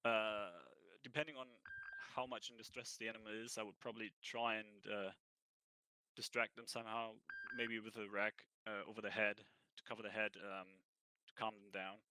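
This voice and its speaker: A man with a cold answering interview questions in a roleplay, halting and thinking aloud as he goes. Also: fairly low pitch, little pitch movement, pushed and bright, clear, resonant tone, poor audio. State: has a cold. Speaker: ranger job applicant.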